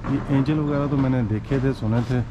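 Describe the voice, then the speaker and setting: Middle-pitched man, forties, travel vlogger, casual walking commentary, English words mixed in, noticeable city street noise. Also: fairly even intonation, brisk. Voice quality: Urdu-Hindi speech mixed with English words. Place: outdoors on city streets and squares. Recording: outdoor street noise and ambience.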